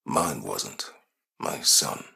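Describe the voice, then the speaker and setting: Very deep, gravelly grieving father. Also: lively intonation, extremely slow, sharp tone, heavily acted. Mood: sorrowful. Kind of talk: dramatic game voice acting.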